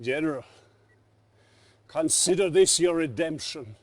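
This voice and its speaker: An actor playing a menacing, imperious Soviet dictator with a Russian accent and a commanding, theatrical delivery in a video game cutscene. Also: male voice, fairly high pitch, expressive, a little slow, darkish tone.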